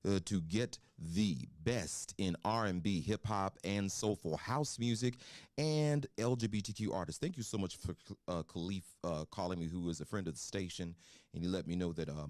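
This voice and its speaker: A man hosting a casual radio interview in a studio, talking loudly and effusively, close on the mic. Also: low voice, moderate pace. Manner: loud, effusive, animated. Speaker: radio host.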